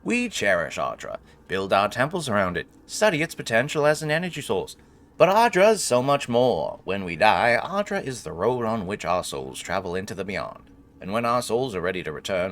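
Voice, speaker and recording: A man's voice: fairly low pitch, very animated, normal speed, a bit bright. A man voicing a solemn, reverent narrator reading sacred lore from a fantasy game. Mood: reverent, grand. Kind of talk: scripted lore read-aloud.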